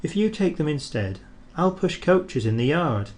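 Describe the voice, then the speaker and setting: A kind little engine who offers help softly, voiced by a man reading aloud. It speaks quietly, near the telling voice. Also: fairly high pitch, big pitch swings, moderate pace, voice pushed up. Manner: kind, soft-spoken.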